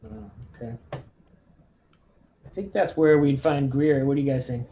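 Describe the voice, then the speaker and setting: A man's voice: medium pitch, slow, dark tone. A man chatting casually as a player in a tabletop roleplaying game, talking through his moves.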